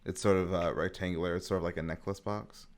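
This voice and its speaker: A man's voice: low voice, fairly even intonation, fast. A man narrating an improvised tabletop game as game master, describing scenes to his players.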